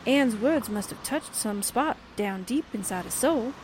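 Actress as a spirited, high-voiced newspaperwoman sidekick. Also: expressive, unhurried, a little mellow, slight background noise, poor audio. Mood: frank. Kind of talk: old-time radio drama acting.

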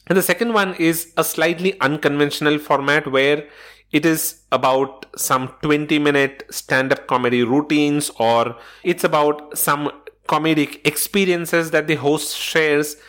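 A man's voice: a little high, fairly bright tone. A man hosting a solo podcast episode, explaining points in a methodical, instructional way from loose notes. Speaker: podcast host.

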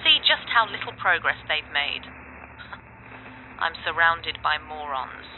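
Casting: actor as fed-up, sneering man, slightly high, on a tinny, noisy played-back recording. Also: expressive, slow. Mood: scornful. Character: scornful, exasperated man leaving recorded messages. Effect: recorded message filter. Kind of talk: audio comedy drama acting.

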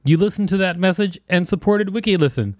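A man's voice: sits high, a little fast, no room sound, clean studio sound. A man hosting and reading an encyclopedia article aloud in a scripted narration.

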